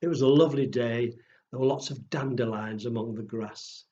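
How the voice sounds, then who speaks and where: A man telling stories to pre-school children in a simple, deliberate way, often putting questions to his young listeners. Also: medium pitch, mellow, highs cut off. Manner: slow, deliberate, addressing small children with questions. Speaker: children's storyteller.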